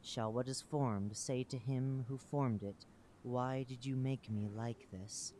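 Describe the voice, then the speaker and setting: A man's voice: mid-range voice, fairly even intonation, slow pace, mellow, slight background noise, natural voice. A man voicing a solemn, unseen divine master who pronounces judgment in grave scriptural words. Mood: stern judgment.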